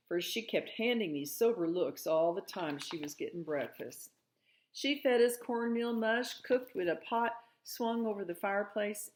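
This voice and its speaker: Woman reading a story aloud expressively. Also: fairly low pitch. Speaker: read-aloud narrator.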